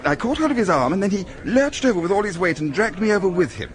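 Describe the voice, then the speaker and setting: An actor playing a brusque, stoical injured doctor with an educated English accent, shaken and in pain, in a radio drama. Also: male voice, high-pitched, expressive. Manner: clipped, brisk, matter-of-fact. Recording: busy crowd noise behind.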